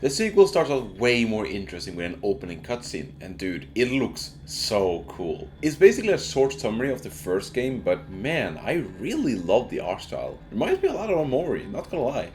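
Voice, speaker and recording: A man's voice: medium pitch, wildly swooping pitch, brisk, hardly any pauses, a little mellow, faint music behind. A man giving a casual, narrated video game review in informal, slangy language.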